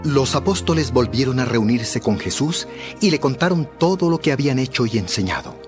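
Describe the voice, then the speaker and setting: A man narrating a dramatized Bible story in a measured, expressive storytelling style. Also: medium pitch, music in the background.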